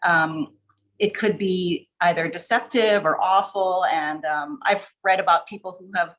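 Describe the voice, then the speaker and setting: A woman's voice: fairly low pitch, a little slow, a bit bright, low-quality recording. A woman chatting informally as a guest writer, explaining things in a rambling way with asides. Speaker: short story writer, podcast guest. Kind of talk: casual chat, explaining. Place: online video call.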